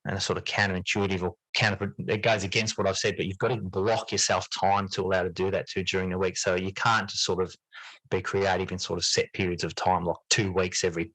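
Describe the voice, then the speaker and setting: A man with a low voice talks reflectively as a podcast guest. He is earnest, repeating and reworking phrases as he goes. The sound is dry, with very little room around it. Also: level tone, a little fast, fairly bright tone, no room sound, low-quality recording. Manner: earnest, repeats and rephrases himself as he thinks. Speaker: podcast guest, teacher and writer. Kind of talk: reflective interview talk.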